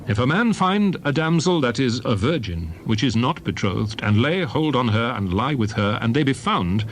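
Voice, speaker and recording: A man reads old scripture aloud in a solemn, dramatized style. His mid-pitched voice rises and falls widely through measured, declarative phrases. Faint background ambience sits behind the reading. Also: a little hiss or hum. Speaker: Bible reader.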